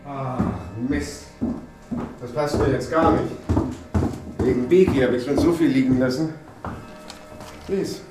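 A man's voice: mid-range voice, slow pace, pauses often, close to an everyday voice. Man playing breezy, evasive husband, roomy sound. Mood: casual, irritated. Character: breezy, evasive husband with a secretive, cajoling streak. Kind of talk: German radio drama acting. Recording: roomy sound under prominent piano music.

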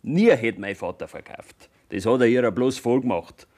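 An actor playing a grown farmer's son who speaks in a flat, certain tone in a radio play. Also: male voice, medium pitch, moderate pace, lightly acted. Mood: dismissive.

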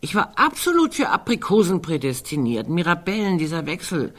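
A woman's voice: fairly low pitch, big pitch swings, a little fast. An actress playing a wry, musing, fussy older woman in a literary radio drama, digressing as she talks. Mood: musing, fretful.

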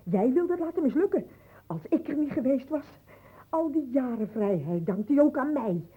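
An actress playing an insistent, accusing wife in a radio play, speaking in an urgent, repetitive way. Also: female voice, high voice, lively intonation, normal speed, husky. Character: insistent, accusing wife waiting obsessively for news. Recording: muffled old radio play recording.

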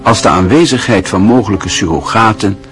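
A man narrating a literary radio drama in a measured, even storytelling manner. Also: lowish voice, soft background music.

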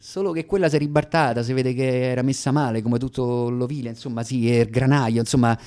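A man's voice: mid-range voice, fairly even intonation, fast talker, darkish tone, natural voice. An actor playing a young aspiring comic from Rome, telling his story with a Roman accent in a dramatic, self-mocking radio monologue. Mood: wistful, halting.

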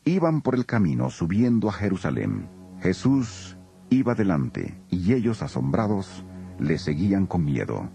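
A man narrating a dramatized scripture reading as an expressive storyteller. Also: deep voice, measured pace, gravelly, slightly rough, faint music behind.

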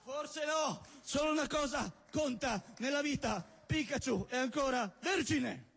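A man performs satirical spoken word live on stage. His voice sits high, and he declaims theatrically, dropping into abrupt asides. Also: unhurried, creaky, fairly bright tone. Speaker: stage poet and comic performer. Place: live stage at a party show.

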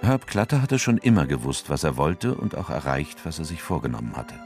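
Deep-voiced man narrating over music. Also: big pitch swings, fairly quick, darkish tone. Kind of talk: scripted literary narration.

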